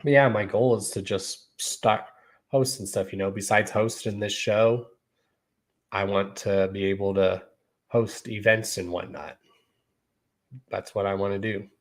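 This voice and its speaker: Laid-back low-voiced man in his thirties. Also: steady tone, unhurried. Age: 30s. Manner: laid-back, repeats "you know" and "y'all". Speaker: podcast host. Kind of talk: casual monologue to viewers.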